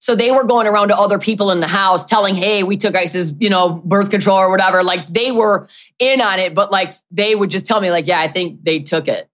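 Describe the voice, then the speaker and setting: Slangy young woman with a deeper voice. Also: fairly quick, fairly bright tone. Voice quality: deep for a woman. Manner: slangy, says dude and bro a lot. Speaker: guest, former reality show cast member. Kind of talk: casual chat, storytelling.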